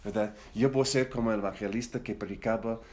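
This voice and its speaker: A man's voice: lowish voice, steady tone, fast talker, darkish tone, slight background noise. A middle-aged man who learned Spanish as a second language, leading a Bible study for church leaders in a casual, rambling way, often repeating words and asking for agreement. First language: not Spanish. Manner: chatty, rambling, with frequent tag questions and repeated words. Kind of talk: casual, conversational teaching.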